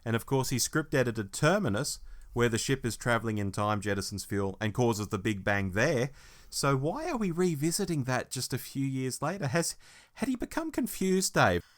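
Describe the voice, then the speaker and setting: A middle-aged man with an average-pitched voice. He speaks casually as the co-host of a review podcast, in a conversational back-and-forth style. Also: very animated, normal speed. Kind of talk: casual chat, prompting co-host with questions.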